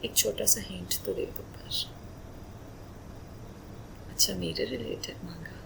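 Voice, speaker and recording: A woman playing a loving, playful wife in a romantic roleplay ASMR, speaking softly and breathily in an intimate, coaxing manner, often slipping into whispers. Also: medium pitch, normal speed, creaky, rough recording. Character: loving, emotional, playful young wife doting on husband.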